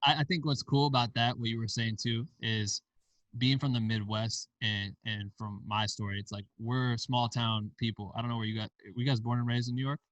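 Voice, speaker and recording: A man's voice: mid-range voice, steady tone, a little fast, fairly bright tone, no echo, recorded from a distance, dull audio. A man from Iowa, a podcast guest, telling stories in a laid-back way with casual slang. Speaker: podcast guest, film director and founder. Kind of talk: casual interview chat.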